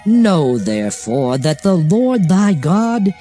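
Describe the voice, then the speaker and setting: A man playing a solemn prophet exhorting his people, declaiming archaic scripture with deliberate phrasing in a dramatized Bible reading. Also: sits high, big pitch swings, dark tone, full character voice. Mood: solemn, earnest. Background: music.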